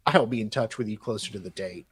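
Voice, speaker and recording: A man's voice: fairly low pitch, fast talker, breathy edge. A man hosting a live show, reading emails aloud in a dramatic style and breaking off for casual, mocking asides.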